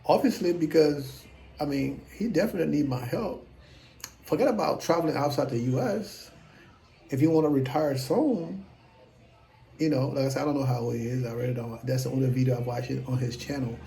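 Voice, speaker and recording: A man in his fifties with a gravelly, dark-toned voice talks straight to the camera about investing. He is blunt and self-assured and rambles from point to point. Faint noise sits behind him. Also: medium pitch, a little fast, rough recording. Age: 50s. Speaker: investing vlogger. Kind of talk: casual talk to camera.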